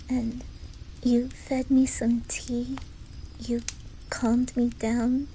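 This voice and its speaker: A woman voicing a gentle, timid young boy with an imitated Swedish accent, speaking hushed and hesitantly in an ASMR roleplay. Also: high voice, slight background noise, heavily acted, rough recording. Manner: hushed, hesitant, halting, often whispery.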